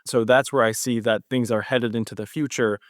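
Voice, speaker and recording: A man's voice: mid-range voice, fairly even intonation, brisk, no echo. Man explaining research, dry close sound. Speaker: guest physician researcher. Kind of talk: conversational expert interview.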